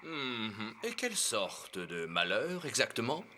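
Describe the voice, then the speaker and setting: A man voicing a proud, stubborn, scornful king of Egypt in an animated film, with a haughty, theatrical delivery. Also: mid-range voice, slow pace, very noisy. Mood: smug, taunting.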